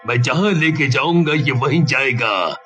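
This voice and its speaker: A man voicing a vengeful, gloating ghost ship captain in a cartoon, with a drawn-out, menacing delivery. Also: medium pitch, animated, pushed and bright, faint music behind. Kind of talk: cartoon villain acting.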